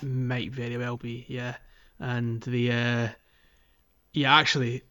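A man speaks as a podcast co-host in loose, casual gaming chat. He sits in a middling register and often feels his way through a thought out loud, hesitating as he goes. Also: mid-range voice, little pitch movement, slow, dull audio.

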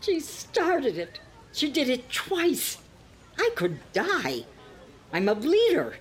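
An actress as a melodramatic old woman in a radio play, high-pitched and very slow. She is plaintive and suspicious, with big dramatic swings in her voice. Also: huge pitch swings, slight background noise, full character voice. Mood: aggrieved, pleading. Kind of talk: audio drama acting.

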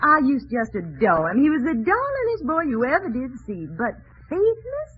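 A playful, teasing wife back from a long absence in a 1940s radio play. Her voice is high and swoops widely. The old broadcast sound is dull, with faint hiss behind it. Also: huge pitch swings, normal speed. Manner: teasing, fakes a sugary Southern drawl. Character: Ellen, woman back from a South Pacific island, playful and teasing.

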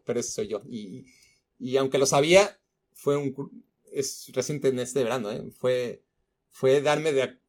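A man with a Mexico City Spanish accent hosting a football podcast, talking in a rambling casual monologue full of fillers and swearing. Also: fairly high pitch. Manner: rambling, frequent fillers and profanity.

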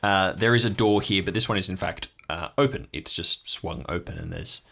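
A man's voice: low voice, normal speed, gravelly, fairly bright tone. A man improvising narration as game master of an audio drama, setting scenes descriptively in the second person. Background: background music.

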